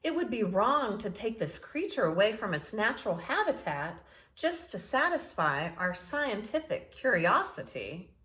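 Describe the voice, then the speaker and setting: Lady reading a principled girl mouse explorer, storybook, earnest and firm, unhurried. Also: medium pitch, sing-song, a bit bright, pitched above the performer's own voice.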